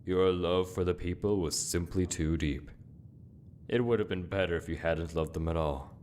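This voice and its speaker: A man's voice: sits low, a little mellow, slight background noise, lightly acted. A man playing a grave, self-righteous inquisitor in a fantasy game, speaking in solemn, dramatic rhetoric. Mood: solemn, accusing. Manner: solemn, deliberate, rhetorical speechmaking. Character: zealous old male inquisitor, grave, self-righteous, cracking with anguish.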